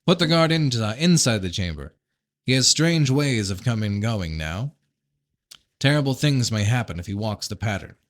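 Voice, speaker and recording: A man's voice: fairly low pitch, lively intonation, normal speed, lightly acted. A man reading a novel aloud as a commanding fantasy prince, speaking in terse, insistent phrases. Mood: urgent. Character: urgent, commanding fantasy prince warning of catastrophe.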